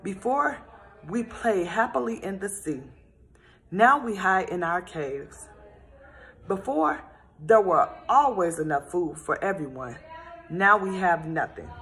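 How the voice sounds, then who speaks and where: Here is a brave little rainbow fish from a storybook, speaking up earnestly and pleading for peace, as a woman reading aloud brings it to life. The voice lifts a bit higher than her narration on its appeals. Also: expressive, slow pace, fairly bright tone, a little hiss or hum, heavily acted.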